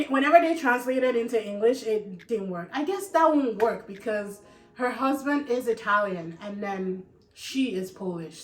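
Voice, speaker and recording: A woman reviewing movies on her channel in a chatty, animated way, laughing often. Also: fairly high pitch. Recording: echoey room with faint music.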